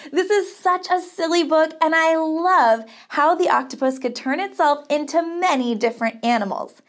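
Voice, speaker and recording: Female children's craft host, middle-aged, high-pitched, encouraging, upbeat, speaking directly to kids. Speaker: children's camp counselor host. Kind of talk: children's craft tutorial presenting. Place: small studio set, indoors.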